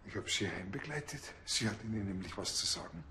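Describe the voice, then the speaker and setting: An actor playing a blunt, cheeky police officer with a Bavarian accent and colloquial speech. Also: male voice, lowish voice, lively intonation, quick pace, pushed and bright, slight background noise. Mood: brusque.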